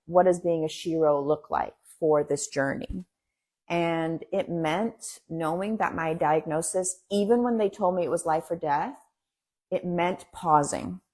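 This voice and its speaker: A woman whose first language is Farsi, a guest in a casual interview, talking earnestly and encouragingly in quick bursts. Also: on the low side, darkish tone.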